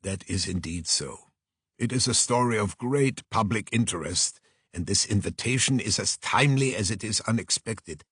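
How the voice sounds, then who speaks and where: A man voicing a polite, deliberate private detective who speaks formally and precisely in an audiobook. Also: on the low side, a bit bright, slightly rough, no echo, clean studio sound. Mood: thoughtful. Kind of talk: audiobook character voice.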